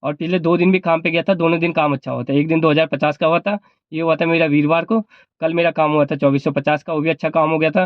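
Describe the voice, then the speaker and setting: A man's voice: a little high, fairly even intonation, quick pace, no echo, muffled recording. A man vlogging informal advice in an explanatory, repetitive way, held back a little by a sore throat. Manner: explanatory, repeats points. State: sore throat, not speaking at full volume. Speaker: vlogger and cab driver. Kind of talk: informal advice vlog talk.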